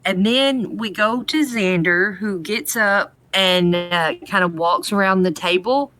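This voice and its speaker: A woman's voice: medium pitch, takes it slowly, fairly bright tone. A woman co-hosting a podcast, chatting casually with dry amusement as she recaps a TV episode. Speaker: podcast co-host.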